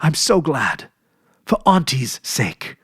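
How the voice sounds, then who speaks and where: A man voicing a young girl, breathless and eager, calling out in a raised, husky voice. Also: on the high side, big pitch swings, takes it slowly, no echo, studio quality, exaggerated voice. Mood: overjoyed. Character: young girl, joyful and emotional.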